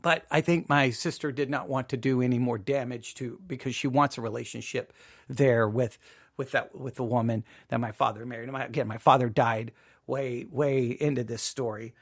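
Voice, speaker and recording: A man hosting an informal spoken commentary, emphatic and halting, repeating himself and adding rhetorical asides. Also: mid-range voice, fairly even intonation, no echo, clean studio sound. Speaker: podcast host commenting on a drama.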